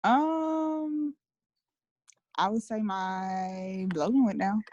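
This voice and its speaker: A woman's voice: mid-range voice, drawn-out words, a little mellow, highs cut off, a little hiss or hum. A woman from Atlanta, a new distributor speaking on a video call, answering hesitantly with lots of fillers.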